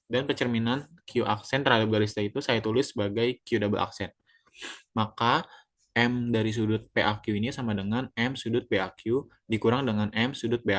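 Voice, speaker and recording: A young man from Indonesia giving a class presentation of a geometry proof, halting, with many fillers and self-corrections. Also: lowish voice. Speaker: student presenter.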